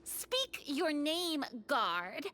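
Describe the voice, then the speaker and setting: A woman's voice: high-pitched, sing-song, extremely slow, bright, forceful tone, exaggerated voice. A woman voicing an earnest, hesitant young girl adventurer in a video game. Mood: puzzled, earnest. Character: earnest young girl adventurer playing along in a role-play.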